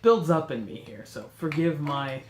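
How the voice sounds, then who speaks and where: A man's voice: a little high, expressive, normal speed, reverberant, slight background noise. Congested, nasal man chatting about games. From North America. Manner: enthusiastic, rambling, self-correcting. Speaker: host. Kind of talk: casual chat.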